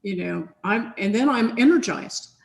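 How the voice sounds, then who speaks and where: A woman's voice: fairly high pitch, lively intonation, normal speed, gravelly. A woman chatting casually over a video call from her home office, rambling with lots of fillers and restarts. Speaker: software team researcher in a chain conversation. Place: home office with big sunny windows. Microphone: computer on a video call.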